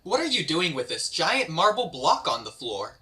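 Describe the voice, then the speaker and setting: A skeptical fantasy quest companion read by a woman, bright and sharp in tone. The delivery is lively and questioning, puzzled by everything in this amateur table read. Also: low-pitched, moderate pace, heavily acted. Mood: incredulous. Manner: incredulous, questioning.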